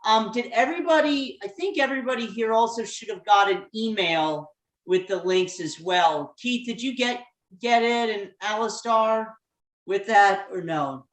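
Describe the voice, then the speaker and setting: A woman hosting an online meeting over a video call, chatty and halting, with lots of ums and restarts. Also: fairly high pitch, roomy sound, poor audio. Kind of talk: casual video-call facilitation.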